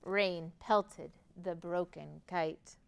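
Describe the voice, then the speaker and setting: A woman's voice: fairly low pitch, steady tone, very slow, vocal fry, clean tone. An American woman in her thirties leading a children's storytime in a sing-song, exaggerated way for little kids. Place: library room, indoors. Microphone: lapel.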